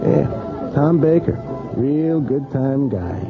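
An actor playing a gossipy, offhand bar regular in a radio drama. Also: male voice, medium pitch, lively intonation, takes it slowly, over music. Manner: chatty, offhand. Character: gossipy bar regular who has seen it all. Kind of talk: 1980s radio drama acting. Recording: dull lo-fi radio broadcast in a noisy bar.

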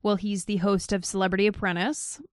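A woman's voice: mid-range voice, little pitch movement, fairly quick, dry recording, studio quality. A woman co-hosting a podcast, mocking and critical in casual political chat. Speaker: podcast co-host.

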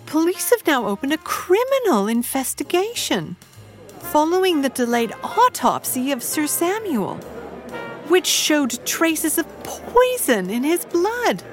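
High female voice, hugely varied intonation, genteel naive lady, proper and earnest, audio drama. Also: big pitch swings, a little slow, soft background music. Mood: concerned, reading aloud. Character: Sylvia, genteel, naive, soft-hearted lady, easily shocked.

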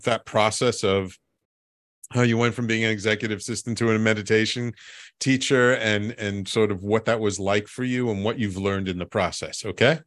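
A man's voice: lowish voice, steady tone, normal speed, a bit bright, dry recording, studio quality. A man hosting a radio talk show, chatting with a guest in an eager, stammering way, often repeating his words. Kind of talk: casual interview chat.

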